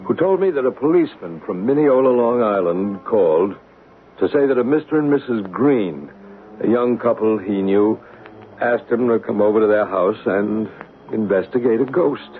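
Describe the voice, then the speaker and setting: An actor playing a calm male psychic investigator in a 1970s radio drama, asking questions in a reassuring way. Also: on the low side, normal speed, natural voice. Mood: narrating. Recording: tinny hissy 1970s radio broadcast.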